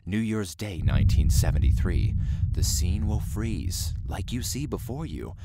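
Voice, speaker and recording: A deep, suave devil, speaking in formal, measured phrases with a lively swing in pitch. He turns haughty and indignant, then sighs with weary patience. A loud hiss sits under the recording. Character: suave scheming male devil, proud and theatrical. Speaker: Mr. Smith, the devil as salesman. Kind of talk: audio drama acting.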